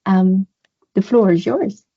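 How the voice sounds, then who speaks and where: A woman hosting a webinar, giving an unhurried formal welcome and introduction that opens with a Māori greeting. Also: medium pitch, fairly even intonation, mellow, husky. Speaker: webinar host. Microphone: video call. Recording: dull lo-fi video call audio.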